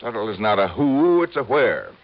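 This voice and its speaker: Old, muffled radio sound frames an egotistical insurance executive. His mid-pitched voice rises and falls emphatically. He is pompous, impatient and fussy, giving orders in classic radio-drama style. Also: big pitch swings, normal speed, gravelly, full character voice. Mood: bossy, curt. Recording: muffled vintage radio recording.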